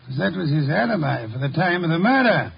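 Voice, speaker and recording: An actor playing a shrewd, imperious, eccentric detective in a 1950s radio drama, speaking in a deliberate, formal, probing way. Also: male voice, fairly high pitch, very animated, vocal fry, slightly rough, exaggerated voice. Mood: courteous, probing. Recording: dull, hissy 1950 radio recording.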